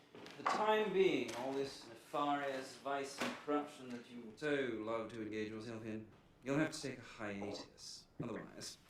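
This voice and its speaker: A man's voice: medium pitch, normal speed, lightly acted. An actor playing a smug, threatening male police chief who delivers his warnings with mock-polite courtesy. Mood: smooth menace. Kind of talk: film acting. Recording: echoey distant film clip with background noise.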